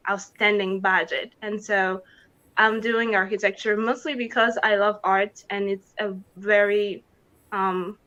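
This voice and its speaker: A woman from the Congo talks through her plans with passion and care. Her voice is bright and forward. The audio is muffled, like a video call. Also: mid-range voice. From Democratic Republic of the Congo. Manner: earnest, passionate, measured. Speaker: pageant contestant. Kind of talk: interview answers over video call.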